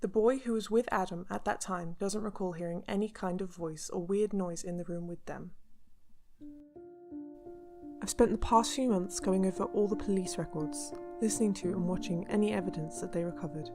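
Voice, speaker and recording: A woman playing a serious, measured investigator who narrates recorded evidence in a scripted radio drama. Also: fairly low pitch, fairly even intonation, lightly acted. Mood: serious, intrigued. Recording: music bed under the voice.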